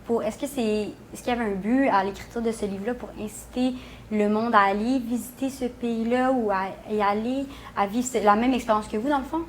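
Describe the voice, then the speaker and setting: A woman's voice: on the high side, steady tone, fairly quick, a little hiss or hum, poor audio. A young woman with a Quebec French accent, chatting casually and informally, full of little filler phrases. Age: young adult. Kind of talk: casual interview chat.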